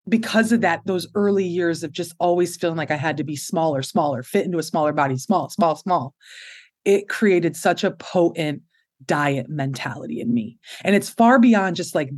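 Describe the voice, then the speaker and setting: Woman, 30s, low voice; expressive, candid interview guest who laughs easily. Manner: expressive, open, laughs often. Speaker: podcast guest.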